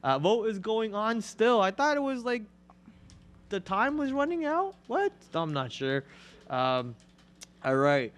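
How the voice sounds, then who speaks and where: A man's voice: high voice, big pitch swings, measured pace. A man hosting a live stock trading show, chatting and joking casually while calling out chart levels.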